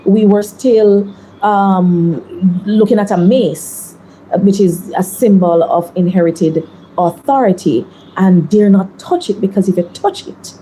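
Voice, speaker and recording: Measured Jamaican woman politician. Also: medium pitch, warm tone, slight background noise, rough recording. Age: 30s. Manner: measured, deliberate, articulate. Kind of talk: interview answers.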